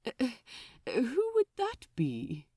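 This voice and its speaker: A woman as a fussy, high-flown male angel. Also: huge pitch swings, slow, mellow, slightly rough, dry recording, studio quality, exaggerated voice. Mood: puzzled.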